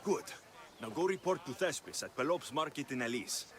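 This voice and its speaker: A heroic mercenary played by a man in a game cutscene, with a mid-pitched, expressive voice. He is direct and sure of himself, with a dry, teasing edge. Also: brisk, natural voice. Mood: persuasive. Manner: direct, assured, dryly teasing. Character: young heroic Greek mercenary, confident, wry and helpful. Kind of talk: video game cutscene acting.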